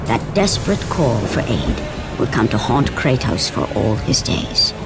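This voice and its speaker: Grave storyteller, low voice over music. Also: female voice, big pitch swings, full character voice. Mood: grave, ominous. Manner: solemn, dramatic, deliberate. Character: grave, omniscient storyteller of a Greek myth. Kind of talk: scripted epic game narration. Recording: orchestral game music behind voice.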